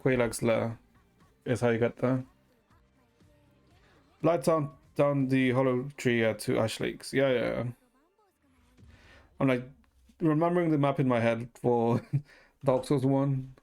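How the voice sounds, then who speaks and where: A man's voice: mid-range voice, takes it slowly, gravelly, long pauses, a little mellow. A man streaming a game and chatting casually with viewers, thinking aloud hesitantly with some mild swearing. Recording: music playing behind the voice. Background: music.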